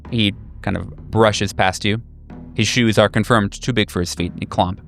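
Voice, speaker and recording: Low-voiced male game master, halting narration. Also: fairly even intonation, a bit bright. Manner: halting, searching for words. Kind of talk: improvised narration of a horror tabletop game. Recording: music behind. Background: clear background music.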